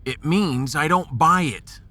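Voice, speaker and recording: A man playing a gruff, folksy American starship captain in a sci-fi audio drama, resolute and commanding with homespun slang. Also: on the high side, slow, bright, forceful tone. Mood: skeptical.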